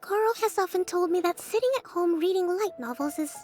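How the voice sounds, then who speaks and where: Gentle high-voiced young priestess, music underneath. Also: female voice. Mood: composed, reflective. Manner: soft-spoken, measured, polite, formal. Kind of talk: video game character acting. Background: background music.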